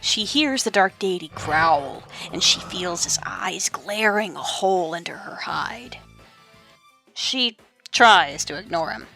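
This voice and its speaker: A woman's voice: lowish voice, expressive, takes it slowly, creaky, sharp tone, faint music behind. A woman giving dramatic scripted narration as the narrator of an audio drama.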